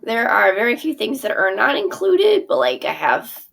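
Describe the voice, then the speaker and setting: A woman's voice: on the high side, wildly swooping pitch, moderate pace, vocal fry, a bit bright. A woman teacher casually walking through her theater curriculum, chatty and full of fillers. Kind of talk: casual walkthrough of a product.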